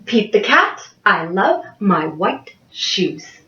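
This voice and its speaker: A young adult woman reading a picture book aloud to children in an expressive way. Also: medium pitch, slow, reverberant, muffled recording. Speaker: early childhood education student reading to children. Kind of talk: picture-book read-aloud with sing-along.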